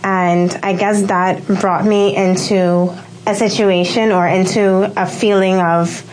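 A woman's voice: mid-range voice, level tone, takes it slowly, a little mellow, rough recording. A young woman from Curacao telling her testimony in a radio studio, hesitant with many ums. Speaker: guest sharing testimony. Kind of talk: personal testimony in interview.

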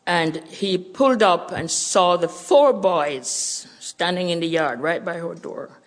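Jamaican lady missionary, older, unhurried earnest church talk. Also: on the low side, low-quality recording. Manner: earnest and testimonial.

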